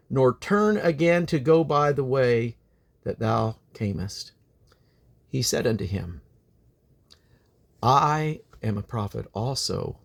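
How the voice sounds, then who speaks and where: A man reading the Bible aloud as a dramatic narrator, declaiming quoted speech with emphasis. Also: medium pitch. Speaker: Bible reader.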